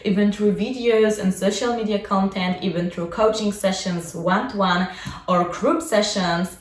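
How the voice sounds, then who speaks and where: Chatty woman, Polish native, echoey room. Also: mid-range voice. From Poland. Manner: chatty, frequent fillers like "like" and "you know". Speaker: vlogger and coach. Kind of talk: casual personal vlog. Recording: echoey room, mic at a distance.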